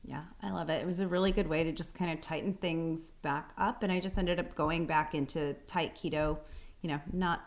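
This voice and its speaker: Chatty low-voiced woman co-host. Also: fairly even intonation, brisk. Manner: chatty, laughs often, fillers like "um" and "like". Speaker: podcast co-host. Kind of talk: casual chat.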